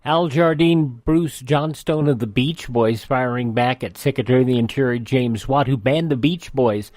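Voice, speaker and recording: A man's voice: mid-range voice, moderate pace, darkish tone, no echo. A man hosting a radio show, reading scripted on-this-day history items.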